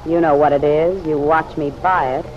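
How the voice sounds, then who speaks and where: A woman's voice: sits low, steady tone, normal speed, natural voice. An actress playing a tough, guarded city woman in an old radio drama, curt, slangy and defensive. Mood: wary, huffy. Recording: dull, noisy old radio recording.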